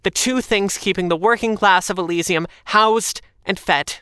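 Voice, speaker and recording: A woman playing a wry, defiant working-class heroine, telling her own story deliberately in an audio drama. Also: mid-range voice, fairly bright tone, clear, resonant tone, no room sound, studio quality, close to an everyday voice. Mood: bitter, wry. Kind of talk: first-person audio drama narration.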